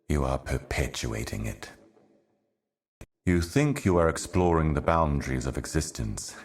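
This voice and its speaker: Ominous otherworldly herald for a video game, super deep voice, really slow n solemn, cryptic doom talk. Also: male voice, creaky, exaggerated voice. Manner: solemn, cryptic, deliberate, portentous. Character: ominous ancient otherworldly herald serving cosmic powers. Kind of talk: scripted video game voice acting, slow monologue.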